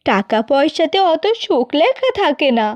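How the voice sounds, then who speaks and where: A woman's voice: sits high, very animated, normal speed, no room sound. A woman voicing a caring, admonishing middle-aged village mother in a cartoon. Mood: earnest, pleading. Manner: earnest, admonishing. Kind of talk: Bengali cartoon fairy-tale acting.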